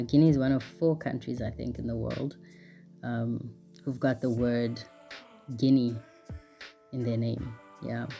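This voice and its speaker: Young woman vlogger, very deep mellow voice, chatty, filler-heavy, laughs easily, soft music under. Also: gravelly. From South Africa. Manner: chatty, lots of "like", laughs often. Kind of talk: casual travel vlog narration.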